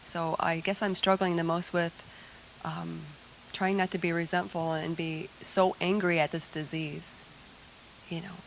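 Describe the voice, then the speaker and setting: A woman on a recovery panel, speaking haltingly and with feeling about people lost to addiction. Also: on the low side, level tone, vocal fry, a little hiss or hum, poor audio. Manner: halting, searching for words. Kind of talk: emotional personal testimony.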